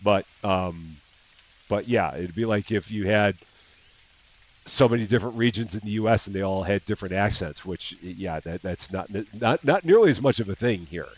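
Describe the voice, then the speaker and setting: A man's voice: on the low side, moderate pace. An American man hosting a casual livestream, rambling and repeating words with self-deprecating humor. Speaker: channel host.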